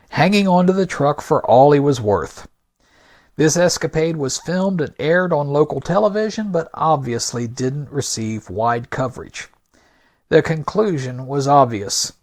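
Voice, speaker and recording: A man hosting a podcast with scripted narration, delivered in a deliberate, emphatic, argumentative manner. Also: on the high side. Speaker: podcast host.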